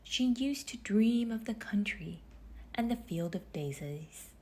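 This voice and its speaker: A woman reading a children's storybook aloud in measured phrases with deliberate pauses, her husky voice turning breathy as phrases end. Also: mid-range voice, lively intonation, mellow. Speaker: storybook reader. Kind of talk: children's read-aloud narration.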